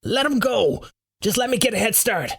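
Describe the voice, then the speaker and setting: Guy doing a scared norwegian dude in an audiobook, yelping high and urgent, pretty cowardly. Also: animated, normal speed, vocal fry, a bit bright, breathy edge, exaggerated voice. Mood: breathless relief. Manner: panicky, urgent exclamations. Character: frightened Norwegian man, shouting, cowardly and high-pitched.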